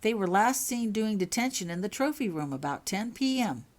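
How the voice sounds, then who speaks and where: A woman's voice: medium pitch, animated, moderate pace, pitched above the performer's own voice, natural voice. Woman voicing an anxious, earnest wizard professor. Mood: concerned.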